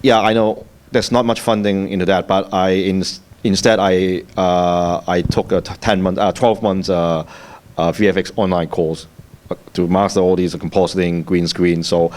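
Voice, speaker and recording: Low-voiced male filmmaker with a Hong Kong accent, hesitant panel speaker. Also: level tone, a little mellow. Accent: Hong Kong Cantonese. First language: Cantonese. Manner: halting, repeats words, many fillers. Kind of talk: festival panel talk.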